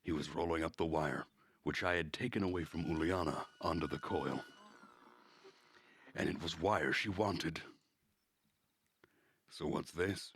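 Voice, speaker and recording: A man's voice: very deep voice, a little slow, long pauses, fairly bright tone. A man streaming a visual novel, reading it aloud as narrator with wry, casual asides.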